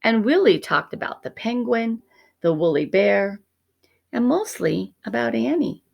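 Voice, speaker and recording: A woman teacher narrating a children's picture book expressively, measured and dramatic, often dropping to hushed tones. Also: on the high side, expressive, creaky, highs cut off.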